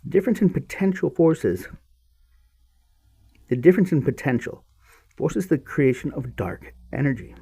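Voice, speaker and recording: A man hosting a podcast, reading a science text aloud in an emphatic, halting way with repeated words and offhand muttered asides. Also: on the high side, animated, vocal fry, warm tone, husky.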